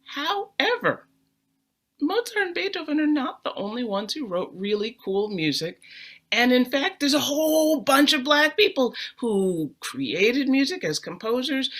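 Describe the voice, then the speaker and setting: A woman's voice: a little high, a bit bright. A middle-aged woman, a string player, speaking on a panel, earnest and emphatic, often repeating words for weight. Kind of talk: personal storytelling on a panel.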